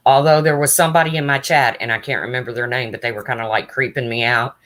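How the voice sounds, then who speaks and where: A woman with a low, raspy voice talks on her own live stream. Her tone is bright and forward-placed despite the rasp. She keeps it chatty and loose, answering viewers' comments one by one. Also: steady tone, fast talker. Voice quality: deep raspy voice. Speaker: true crime livestreamer. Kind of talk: casual live stream chat.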